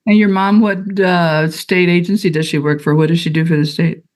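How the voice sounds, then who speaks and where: A woman's voice: fairly low pitch, fairly even intonation, normal speed, a little mellow. A woman judge in a courtroom questioning a father directly and probingly about his background.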